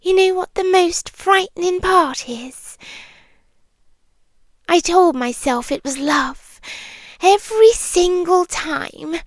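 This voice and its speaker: Casting: woman as obsessive yandere monster girlfriend, British, high-pitched, unhurried, intimate ASMR delivery sinking into whispers. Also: dry recording, studio quality. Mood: intense, obsessive. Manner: hushed, deliberate, dramatic pauses, slipping into whispers. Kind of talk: ASMR roleplay, intimate and slow.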